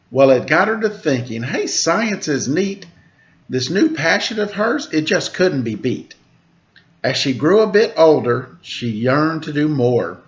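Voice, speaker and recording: A man's voice: fairly high pitch, dull audio. Man reading a rhyming children's story. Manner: sing-song rhyming delivery with lively voiced quotes. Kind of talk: rhyming picture-book read-aloud.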